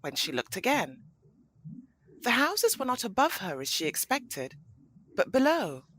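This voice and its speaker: Expressive woman narrating a story. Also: a little high, a little slow, husky.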